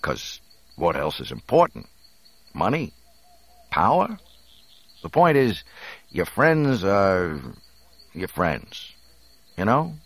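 A man voicing a roguish smuggler in an audiobook, speaking bluntly and haltingly in plain colloquial words. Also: low-pitched, wildly swooping pitch, a bit bright, dry recording, pitched above the performer's own voice, exaggerated voice. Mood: earnest pleading. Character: roguish adult male smuggler, gruff but earnest.

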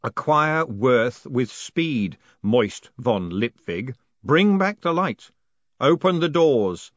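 A man voicing a commanding mystical voice made of letters, intoning slowly and solemnly. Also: mid-range voice, sing-song, a bit bright, no room sound, lo-fi sound.

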